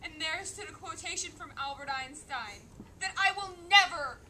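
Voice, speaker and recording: A woman with a very high voice recites a script dramatically. It is a live recording with clear background noise. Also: expressive, normal speed, sharp tone. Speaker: readers theater performer.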